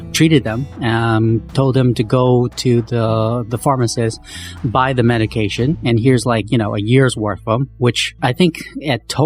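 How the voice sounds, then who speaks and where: Podcast co-host, man, hoarse from poor sleep, rambling, faint music under. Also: medium pitch. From Canada. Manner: rambling, loose, many fillers and restarts. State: voice rough from lack of sleep. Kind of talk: casual chat.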